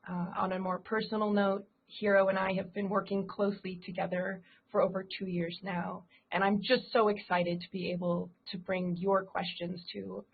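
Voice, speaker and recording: A woman co-hosting a live talk, giving viewers brisk, friendly instructions about asking questions. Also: mid-range voice, vocal fry, breathy edge. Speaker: co-host, audience questions moderator. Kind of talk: live show announcement. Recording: tinny phone-like line.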